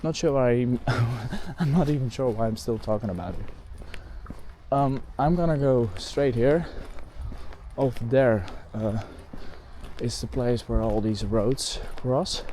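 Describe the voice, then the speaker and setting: A Dutch-accented man talks in a loose, wandering way as he strolls through open countryside. He restarts sentences, corrects himself and fills gaps with "like" and "um." His pitch sits at an ordinary middle level, and faint outdoor sounds with the odd passing car drift in behind him. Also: slow pace, dark tone, slight background noise. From Netherlands. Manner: rambling, self-correcting, lots of "like" and "um". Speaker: bird photographer vlogger. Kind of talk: casual rambling walk-and-talk. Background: faint outdoor ambience with passing cars.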